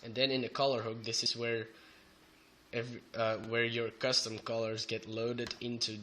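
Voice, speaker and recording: A young man with a Belgian Dutch accent who is a bit sick, talking haltingly through a coding tutorial with many fillers. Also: medium pitch, flat delivery, bright, forceful tone, a little hiss or hum. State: sick, voice sounds off. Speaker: programming tutor. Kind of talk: screen-recorded coding tutorial. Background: keyboard typing and clicks.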